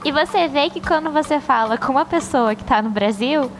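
A Brazilian woman hosting a casual podcast, talking in a lively way. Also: high voice, fairly quick, a little hiss or hum. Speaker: podcast host.

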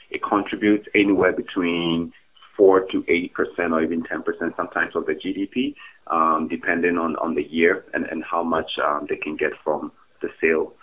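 Academic guest from Ghana, male, deep, halting with fillers, remote call sound. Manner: thoughtful, hesitant with fillers and restarts. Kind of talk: podcast interview answers. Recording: tinny phone-like remote line.

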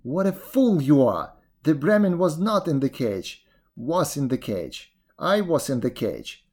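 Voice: mid-range voice, very animated, measured pace, a little mellow. A storyteller voicing a fierce, hungry male tiger with a scornful, commanding, growling manner in a folktale. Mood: scornful anger.

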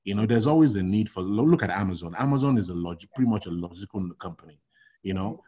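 Man from Nigeria, middle-aged, interview guest, lowish voice, rambling, animated. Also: fast, breathy edge. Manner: rambling, animated, many restarts and "you know". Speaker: logistics consultant, guest. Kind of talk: casual interview talk.

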